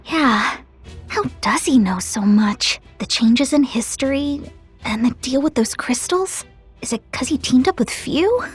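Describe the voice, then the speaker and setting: Very high, girlish earnest little goddess. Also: animated, measured pace, breathy edge, soft background music, full character voice. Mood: puzzled, worried. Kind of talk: animated video game acting.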